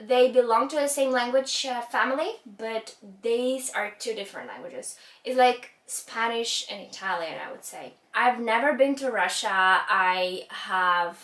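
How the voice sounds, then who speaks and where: A woman's voice: fairly high pitch, unhurried, few pauses, fairly bright tone, recorded from a distance. A young woman in her twenties with a Ukrainian accent, talking to camera about learning languages and gesturing expressively with her hands. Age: 20s. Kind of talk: scripted talk to camera. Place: indoor room with a rug backdrop. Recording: roomy echo, voice a little off-mic.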